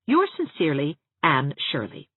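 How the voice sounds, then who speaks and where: A woman's voice: sits low, huge pitch swings, moderate pace, slightly rough, no echo, narrow, tinny audio, heavily acted. A woman reading a storybook as a young female schoolteacher, courteous and rather formal. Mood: stiffly polite.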